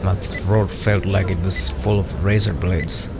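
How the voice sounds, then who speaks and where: A man's voice: low voice, fairly even intonation, measured pace, gravelly, heavy background noise. A man reading a scripted first-person horror story as narrator, with a brooding, dramatic delivery.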